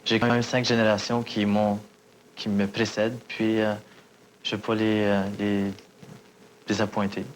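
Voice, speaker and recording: A man from Manitoba speaking earnestly in an interview clip, halting between words. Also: on the low side, completely flat delivery, a little hiss or hum, rough recording.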